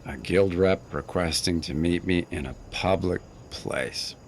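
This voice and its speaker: An actor playing an embattled, stubborn middle-aged starship navigator in a science fiction audio drama. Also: male voice, sits low, a little slow, a little hiss or hum. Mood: bitter, defiant.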